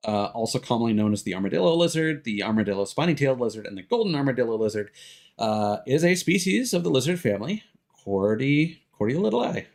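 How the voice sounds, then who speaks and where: A man's voice: mid-range voice, a little mellow. A man doing casual, rambling livestream commentary over a video game, thinking aloud as he goes. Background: music behind the voice.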